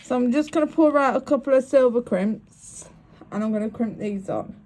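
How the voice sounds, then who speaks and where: A woman's voice: on the high side, moderate pace, a little mellow, a little hiss or hum. A woman, sniffly with a cold, talking through each step of making a bracelet in a chatty, apologetic way. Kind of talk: crafting tutorial.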